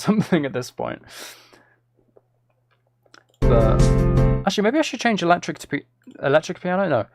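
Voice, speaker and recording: A man streaming casually while making music, thinking aloud to himself and often trailing off mid-thought. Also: fairly high pitch. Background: synth and drum loops from music software, clicking.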